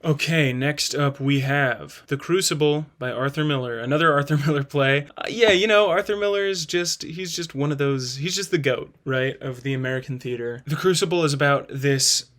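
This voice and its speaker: A man's voice: fairly high pitch, moderate pace, hardly any pauses, fairly bright tone. A man hosting a ranking video, giving casual, enthusiastic review commentary in an informal way. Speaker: host of a play-ranking video.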